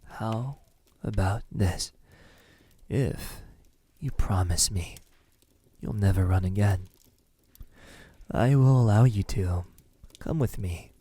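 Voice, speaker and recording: A man playing a possessive, teasing vampire in an intimate ASMR roleplay, speaking softly and breathily with frequent drops into a whisper. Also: lowish voice, no room sound. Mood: coaxing, tender. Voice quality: breathy, often dropping to a whisper. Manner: slow, menacingly tender, teasing. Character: possessive, teasing, lonely male vampire in his castle.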